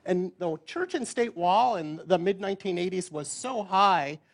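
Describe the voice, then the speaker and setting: A loud-voiced man, a veteran journalist, speaking in a reflective, storytelling way on a panel. His voice sits a little high for a man. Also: animated, moderate pace. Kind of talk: panel discussion.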